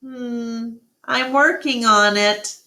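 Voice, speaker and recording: A woman voicing an earnest, gentle male gorilla who speaks hesitantly as a protector in a children's storybook. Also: fairly high pitch, steady tone, very slow, pitched above the performer's own voice, heavily acted. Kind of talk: children's storybook read-aloud.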